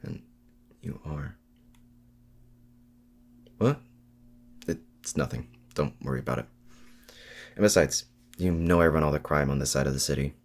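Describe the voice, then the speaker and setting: A man playing a guarded, brusque villain in an ASMR roleplay audio drama, evasive with his hero rival, in a gravelly voice. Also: a bass voice, a little slow, pauses often, heavily acted.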